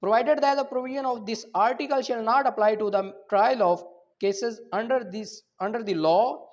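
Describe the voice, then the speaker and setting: A man's voice: very high voice, extremely slow. A man with a Pakistani accent teaching law as a lecturer, explaining points didactically to students. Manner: didactic, checking students understand, mixing Urdu explanation with English legal terms. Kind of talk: classroom lecture, reading articles aloud then explaining. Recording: dull, lo-fi lecture recording.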